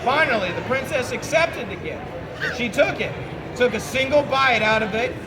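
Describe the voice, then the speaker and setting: Very high male voice, theatrical comic storyteller, halting delivery, self-interrupting asides, noisy crowded venue. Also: animated, a loud crowd. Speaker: storyteller leading an audience word game. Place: busy public venue with a crowd. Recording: noisy crowded public space, loud background bustle.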